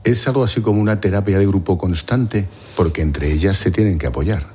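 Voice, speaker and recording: A man's voice: deep voice, a little fast, a little mellow, breathy edge. A man interviewing guests for a TV report, asking direct, probing questions.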